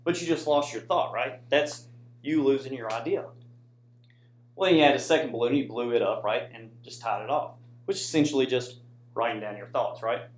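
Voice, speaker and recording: American guy doing a casual class presentation, chatty with the audience, average pitch, pretty echoey room. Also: brisk, slight background noise. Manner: conversational, addresses audience with frequent "right?" tags. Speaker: student presenter, soldier. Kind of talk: informal classroom presentation with slides.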